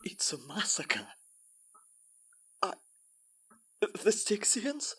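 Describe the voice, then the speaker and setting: An actress playing an anxious, emotional stranded time-traveller with a low, husky voice in audio drama dialogue. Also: female voice, sing-song, very slow, a bit bright, slight background noise, heavily acted. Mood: shaken.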